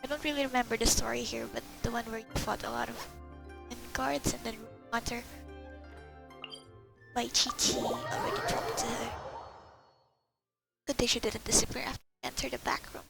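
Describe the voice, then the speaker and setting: A woman's voice: on the high side, fairly even intonation, normal speed, creaky, long pauses, music in the background. A woman giving casual live reactions as she plays a game, talking to herself and repeating words. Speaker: gamer playing a story quest.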